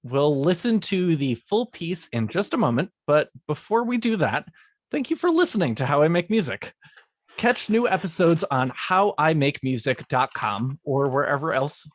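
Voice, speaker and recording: A somewhat high-voiced man, a guest composer, who stumbles and restarts in a halting, self-described mealy-mouthed way. His voice is recorded close and dry, with no room echo. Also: sing-song. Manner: hesitant, halting, calls himself mealy-mouthed. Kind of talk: casual chat and reading an outro.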